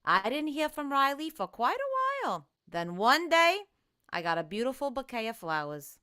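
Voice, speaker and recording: Fond mother recalling old times, played by a woman for radio drama; bright, highly animated. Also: normal speed, heavily acted. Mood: wistful. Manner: wistful storytelling. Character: fond young mother telling her son a story. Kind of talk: vintage radio drama acting.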